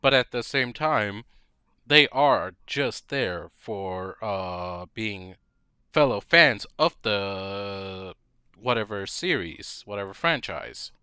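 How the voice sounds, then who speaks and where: Slow, clear, lowish-voiced man. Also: expressive, bright, forceful tone, dry recording. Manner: hesitant, measured phrasing. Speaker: podcast co-host. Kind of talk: casual discussion.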